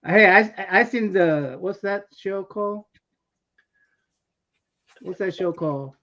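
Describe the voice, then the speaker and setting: A man's voice: high voice, slow pace, bright, forceful tone. A man with a Korean accent chatting casually as a guest on a hangout. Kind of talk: casual chat. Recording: dull lo-fi call audio.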